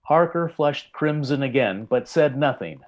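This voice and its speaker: A man narrating a scripted tale in the style of an old time radio drama, with measured, grave storytelling. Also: medium pitch, animated.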